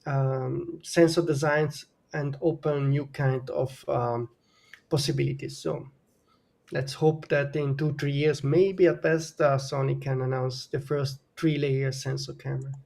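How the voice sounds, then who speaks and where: A man's voice: mid-range voice, fairly even intonation, a little slow, vocal fry, darkish tone. A man with a German accent, a native German speaker from northern Italy, explaining camera technology on a live stream panel with many hesitations and fillers. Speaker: guest camera expert.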